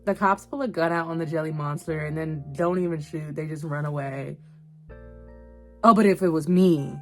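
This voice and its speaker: A woman's voice: sits low, moderate pace. A young adult woman giving casual video commentary in a slangy, emphatic, conversational way, often repeating phrases for emphasis. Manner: animated, slangy, emphatic repetition. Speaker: YouTube host reviewing an animated series. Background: background music.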